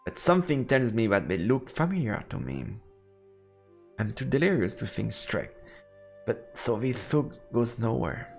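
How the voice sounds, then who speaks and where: A man's voice: medium pitch, sing-song, unhurried, gravelly, faint music behind. A man doing a let's play, reading game narration aloud and reacting casually as he goes.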